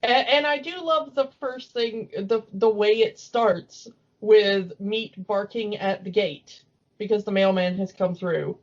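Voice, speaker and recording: A woman's voice: mid-range voice, unhurried. A woman co-hosting a podcast in a casual, giggly and chatty way. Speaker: podcast co-host. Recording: dull lo-fi audio.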